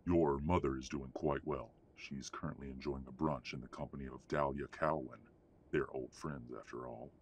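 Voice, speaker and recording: Very deep, husky patrician father acted by a man. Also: fairly even intonation, heavily acted. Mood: cold, smug. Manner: formal, condescending, measured. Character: haughty, domineering old-money werewolf patriarch father. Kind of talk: audio roleplay drama acting.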